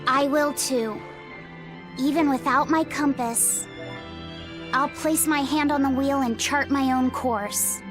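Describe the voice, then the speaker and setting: A woman's voice: high voice, fairly even intonation, slow pace. An actress playing an earnest, kind young boy with a sincere manner in a video game cutscene. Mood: determined. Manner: sincere, caring, youthful. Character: earnest, kind young boy learning to steer his life. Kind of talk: English-dubbed video game cutscene acting. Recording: dramatic game music behind the voice.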